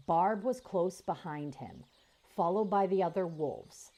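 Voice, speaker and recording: A woman reading a children's chapter book aloud as the channel's narrator, in slow, expressive storytelling. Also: fairly low pitch, husky.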